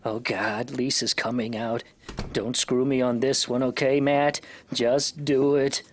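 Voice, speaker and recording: An actor playing a timid, scheming, slightly odd office worker in a scripted comedy, meek and earnest. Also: male voice, mid-range voice, little pitch movement, a little slow, a little hiss or hum, natural voice. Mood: anxious. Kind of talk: scripted workplace comedy acting.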